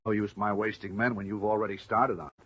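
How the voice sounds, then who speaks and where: An actor playing an insistent, brusque police officer in a 1950s radio drama. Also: male voice, on the low side, little pitch movement, fast talker, faint music behind, natural voice. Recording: dull vintage 1950s radio recording.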